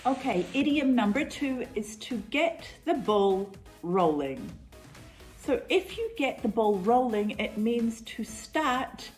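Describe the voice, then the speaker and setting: Slow, measured New woman teacher. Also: a little high, big pitch swings, darkish tone, soft background music. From New Zealand. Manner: clear, measured explanations with repeated examples. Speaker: English teacher. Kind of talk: online English lesson.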